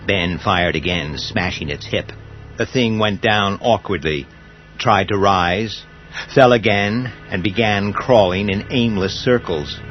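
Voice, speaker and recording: A man narrating a scripted horror story in a dramatic, deliberate manner. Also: lowish voice. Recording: dull audio with faint music.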